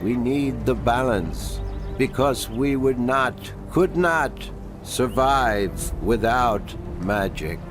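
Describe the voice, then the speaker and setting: A man voicing an ancient, wise being of a magical people in a fantasy game, speaking in a formal, deliberate, solemn way. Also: mid-range voice, animated, music in the background. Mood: solemn, earnest. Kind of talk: fantasy game character dialogue.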